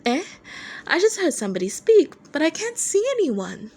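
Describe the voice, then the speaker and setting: A giddy male band singer who lives to tease his rival, acted out by a woman. The voice sits high and swoops about, playful and mocking, as in an audiobook of fan fiction. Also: very animated, normal speed, a little mellow. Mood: mock oblivious.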